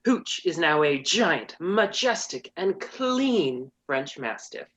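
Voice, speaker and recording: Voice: medium pitch, big pitch swings, slow pace, a bit bright. Sassy comedy narrator, voice swings all over, mock-serious storytelling. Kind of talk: comedic scripted narration with commentary.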